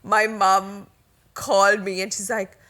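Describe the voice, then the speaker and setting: A woman co-hosting a podcast in casual chat, with a loud, expressive voice; she gets excited, laughs often and drifts onto tangents. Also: mid-range voice, takes it slowly, background noise. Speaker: podcast co-host.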